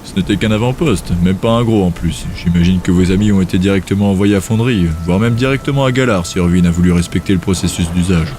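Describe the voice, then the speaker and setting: Man acting a low-voiced, brisk, cynical man. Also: a little mellow, slight background noise, natural voice. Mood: dry, resigned. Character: gruff, cynical, matter-of-fact grown man, ex-soldier. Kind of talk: audio drama dialogue.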